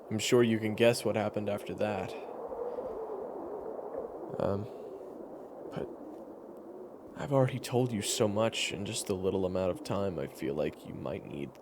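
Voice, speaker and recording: A man narrating a dramatic roleplay story in a hushed, confiding way. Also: lowish voice, measured pace, pauses often, noisy background.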